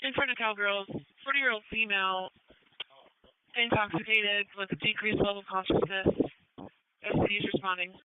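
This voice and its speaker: Clear fire dispatcher woman, tinny radio. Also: mid-range voice, fairly even intonation, normal speed, no echo. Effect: two-way radio. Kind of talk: scripted radio dispatch announcement. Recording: tinny narrowband fire radio scanner audio.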